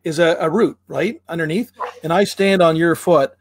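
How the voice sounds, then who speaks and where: A man from Canada explaining tree care in a casual chat, relaxed and full of fillers and restarts, with playful comparisons. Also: a little high, moderate pace, a little hiss or hum. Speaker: tree care expert guest.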